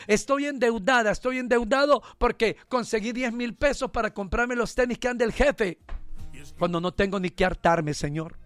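A man's voice: extremely high pitch, normal speed, fairly bright tone, no echo, soft background music. A male preacher giving a sermon full of jokes, then leading a fervent prayer. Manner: fervent, joking, conversational.

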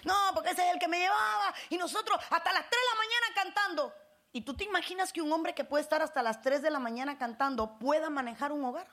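A woman pastor with a Cuban Spanish accent delivers a sermon in a high voice. She calls on the congregation for responses and climbs into emphatic bursts. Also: quick pace, a bit bright. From Cuba.

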